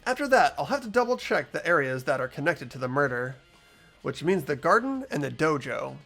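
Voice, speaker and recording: Man as inquisitive schoolboy game protagonist, earnest, expressive intonation, slightly high voice, light music underneath. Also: fairly quick, gravelly, heavily acted. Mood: earnest, thoughtful. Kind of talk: acted visual novel dialogue and inner narration. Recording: music behind the voice.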